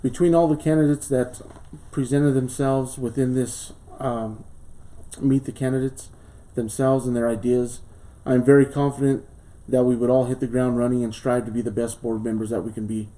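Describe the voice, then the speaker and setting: A man in his late thirties, a school board candidate from southern Colorado, reading a formal speech and answering questions earnestly, with pauses and fillers, in a small studio. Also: mid-range voice, level tone, mellow. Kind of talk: prepared campaign speech and interview answers.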